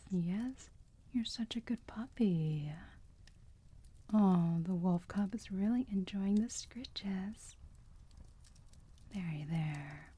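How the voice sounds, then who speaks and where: A woman playing a gentle, protective, motherly vampire in a whispered ASMR roleplay, speaking softly and soothingly in a breathy voice close to the mic. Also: mid-range voice. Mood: playful cooing. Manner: soft, slow, cooing, soothing.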